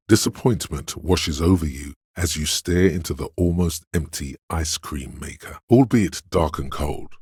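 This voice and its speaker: An extremely deep, gravel-rough tempter for a video game, recorded close and dry. This is addiction itself as an inner voice, gleeful and seductive. The delivery is sly and theatrical, insinuating and goading toward every indulgence. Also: male voice, dry recording, exaggerated voice. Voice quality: very deep, husky. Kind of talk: video game character voice lines.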